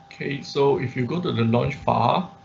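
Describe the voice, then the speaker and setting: A dull, slightly muffled online-class recording of a man with a medium-pitched voice. He teaches informally, step by step, halting and repeating himself, often tagging on a short question to check understanding. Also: vocal fry, a little hiss or hum. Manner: halting, repetitive explaining with frequent tag questions. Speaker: instructor of an online robotics class. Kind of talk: informal live tutorial with screen demonstration.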